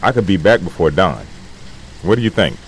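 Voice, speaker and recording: An actor playing a practical former soldier who speaks in a casual, offhand way. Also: male voice, low-pitched, big pitch swings, normal speed, a little hiss or hum.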